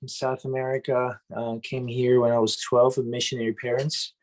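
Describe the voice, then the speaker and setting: A young adult man from Colombia, a pastor and music minister, telling stories in a loose, animated way on an interview show. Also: medium pitch, normal speed, low-quality recording. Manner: loose and animated, says "man" and "bro" a lot. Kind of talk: casual interview storytelling.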